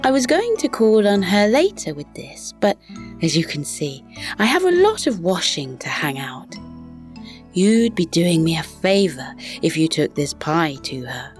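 A woman plays a friendly storybook mother pig. She is helpful and neighbourly, talking at an easy slow pace in a lowish voice. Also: very animated, soft background music. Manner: kindly, neighbourly.

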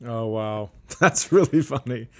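A man's voice: mid-range voice, expressive, measured pace, vocal fry, a little mellow. A man co-hosting a comedy podcast in casual banter, with an incredulous, joking manner.

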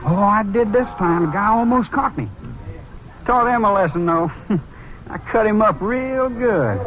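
An actor playing an eager, boastful young American car thief in a slangy, cocky manner, in a 1950s radio drama. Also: male voice, high voice, lively intonation, a little slow, fairly bright tone. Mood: boastful, chummy. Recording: muffled vintage 1950s radio recording.

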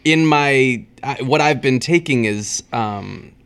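A man's voice: mid-range voice, slow pace, a bit bright, clean tone. A man co-hosting a podcast in casual chat, rambling and hesitating as he searches for words. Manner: rambling, hesitant, lots of fillers. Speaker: podcast co-host.

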